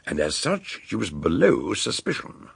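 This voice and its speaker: An actor playing an eccentric, wordy older British detective doctor in a radio drama, genial and courteous, with a gravelly, husky voice. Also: male voice, sits low, huge pitch swings, dry recording, exaggerated voice. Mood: grave, deliberate. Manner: wordy, deliberate, old-fashioned courtesy.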